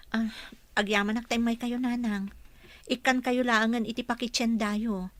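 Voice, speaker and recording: Dutiful young wife, radio drama, female actor: highish pitch, Ilocano accent, attentive and kind. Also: fairly even intonation, slow. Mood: respectful, kindly. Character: caring, dutiful young wife who works at a parlor.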